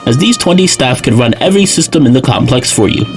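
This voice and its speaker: A man's voice: mid-range voice, animated, quick pace, music in the background, natural voice. A man playing a polished, formal salesman who is eager to sell, in an audio drama. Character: polished male salesman pitching a luxury survival bunker.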